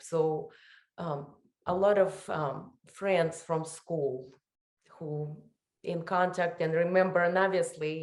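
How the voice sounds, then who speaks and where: Female interview guest, Ukrainian accent, very slow halting delivery, echoey video-call sound. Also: on the low side. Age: middle-aged. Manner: halting, searching for words. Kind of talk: personal account in an interview. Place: indoor room on a video call.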